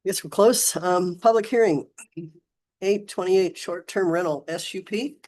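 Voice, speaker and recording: A woman chairing a county commission meeting, hoarse and losing her voice, moving things along with brisk procedural phrasing. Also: on the low side, a little mellow.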